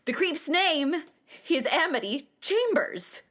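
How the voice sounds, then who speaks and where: A woman's voice: high-pitched, big pitch swings, slow pace, fairly bright tone, full character voice. A woman playing a frightened, furious and defiant phone-call victim in a radio play. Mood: furious, demanding.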